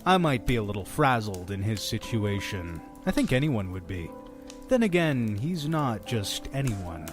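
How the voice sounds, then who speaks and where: A man playing a fussy, sardonic innkeeper who tells dark horror tales in a theatrical, deliberate way for an audio drama. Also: fairly low pitch, big pitch swings, over music. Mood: exasperated, sarcastic. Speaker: storytelling host of a horror anthology.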